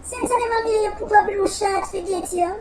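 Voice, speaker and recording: Very high-pitched sassy Jamaican woman , acted by a woman. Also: fairly quick. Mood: impatient. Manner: brash, bantering. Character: sassy, flirtatious, sharp-tongued mature Jamaican woman. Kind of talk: radio drama dialogue in Patois. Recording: noisy, chirping birdsong behind.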